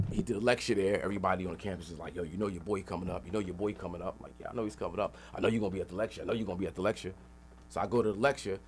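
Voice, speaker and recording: A man's voice: low voice, a little mellow, slight background noise. A middle-aged man telling stories in an emphatic, streetwise, slangy way as a radio interview guest. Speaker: interview guest, rapper and activist. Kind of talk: animated storytelling in a radio interview.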